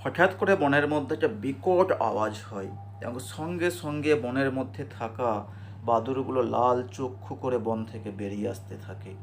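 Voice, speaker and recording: A man's voice: mid-range voice, normal speed. A man narrating a scripted cartoon ghost story in a storyteller's style. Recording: clear background noise and ambience.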